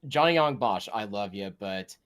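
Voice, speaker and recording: A man's voice: on the low side, expressive, normal speed, fairly bright tone, smooth. A man in casual gaming podcast chat, chiming in with brief, agreeable, joking asides. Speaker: podcast co-host.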